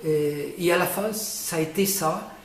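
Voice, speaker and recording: A man, a painter who is not a native French speaker, explaining his own painting in a halting way with many fillers and restarts. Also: fairly high pitch, reverberant, poor audio. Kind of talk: informal spoken explanation.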